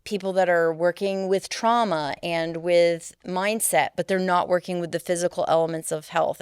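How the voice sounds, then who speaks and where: A middle-aged woman with a smooth, resonant voice that sits a little low, talking earnestly as a podcast co-host. She turns animated when telling a story. The sound is close and dry, from a studio mic. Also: dry recording. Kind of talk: casual podcast chat.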